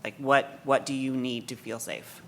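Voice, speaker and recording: A man's voice: medium pitch, steady tone, normal speed, slight background noise. A man bookseller speaking frankly and conversationally on a conference panel. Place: conference session room.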